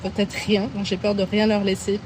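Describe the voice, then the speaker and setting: A middle-aged woman from France, answering questions in a casual street interview in a park, thoughtful and hesitant, often laughing softly. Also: medium pitch, completely flat delivery, fairly quick. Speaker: interviewee, passer-by. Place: outdoor city park. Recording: outdoor park noise, traffic.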